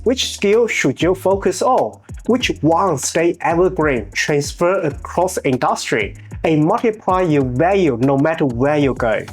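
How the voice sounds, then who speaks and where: Man with a Chinese accent, motivational narration. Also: on the high side. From China. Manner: earnest, measured delivery with small grammar slips. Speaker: channel host.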